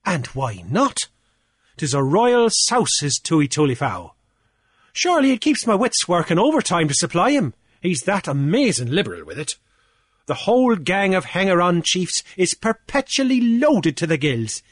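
A man's voice: sits high, very animated, moderate pace, husky, no room sound, clean studio sound, voice pushed up, full character voice. A man voicing a pompous, drunken male beachcomber official who blusters in a lilt. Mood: blustering. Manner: bombastic, wordy, theatrical.

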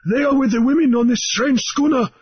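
A man's voice: very high-pitched, unhurried, slightly rough, muffled recording, pitched above the performer's own voice, exaggerated voice. A man voicing a breathless island guide who urges his friend to flee. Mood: urgent. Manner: breathless, urgent.

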